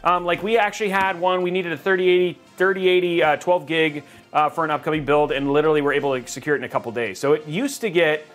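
A man's voice: a little high, fast, a bit bright, soft background music. A man from Texas hosting a casual livestream, reading chat and joking with viewers, rambling and restarting his sentences.

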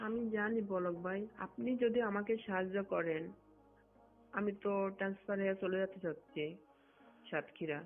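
A woman's voice: mid-range voice, fairly even intonation, normal speed. A woman from Bangladesh talking in a private phone conversation. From Satkhira, Bangladesh. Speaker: phone caller, government employee. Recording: muffled lo-fi phone recording with music.

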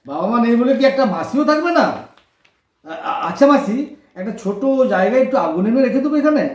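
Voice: mid-range voice, expressive, fast talker, close to an everyday voice. A cocky, good-hearted young street lad with a Kolkata accent , as acted in a radio play. The delivery is slangy and breezy, and the voice rings with room echo. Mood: caring. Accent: Kolkata Bengali. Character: brash, warm-hearted orphaned Kolkata street lad of twenty-four. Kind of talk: colloquial audio drama acting.

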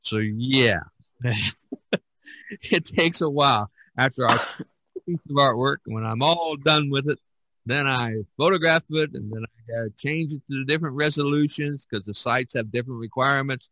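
A man's voice: medium pitch, moderate pace, sharp tone, no echo, low-quality recording. A man hosting a podcast in a casual, rambling way, often repeating himself and circling back. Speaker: podcast host.

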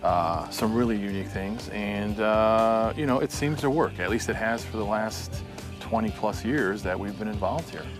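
A man's voice: fairly low pitch, normal speed. An American man in his forties giving considered interview answers about a family business, speaking outdoors. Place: outdoors among trees. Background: music.

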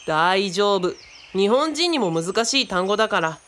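A woman's voice: mid-range voice, lively intonation, a little slow, fairly bright tone. A woman playing a friendly, boyish youngster who explains things casually and patiently in a radio drama.

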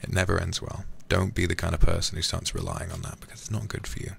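A man livestreaming a game in a soft, soothing ASMR style, chatting casually and affectionately close to the microphone. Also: deep voice, little pitch movement, quick pace, creaky, a little hiss or hum. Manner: soothing, affectionate, drops into whispers. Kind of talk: ASMR gaming stream, soft casual chat. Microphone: close streaming microphone.

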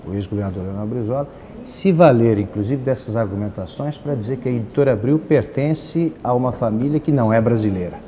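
A man's voice: mid-range voice, a little fast, mellow. A man with a Brazilian accent putting questions to a guest as an interviewer in a television studio. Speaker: interviewer on a panel. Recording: faint noise, old TV studio tape.

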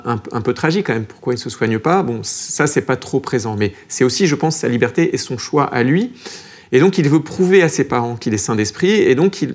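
A man speaking as an art critic in a panel debate, circling back and repeating words as he builds his argument. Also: medium pitch. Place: TV studio.

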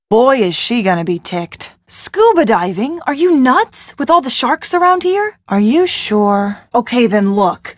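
Plucky young woman from a video game, kinda high voice, earnest n wry, super dry close recording. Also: no echo. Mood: spirited. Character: plucky, anxious young woman, loyal friend and gadget fixer.

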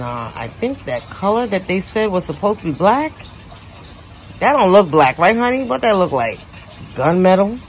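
Slow-talking woman on a backyard tour. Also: on the low side. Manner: chatty, talks straight to viewers with lively exclamations. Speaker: home renovation vlogger. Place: outdoor backyard patio and garden. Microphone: handheld phone camera. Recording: outdoor ambient noise.

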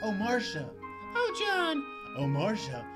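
A man's voice: high-pitched, wildly swooping pitch, slow pace, over music, voice pushed up, heavily acted. A man voicing melodramatic, swooning soap opera lovers in a video game.

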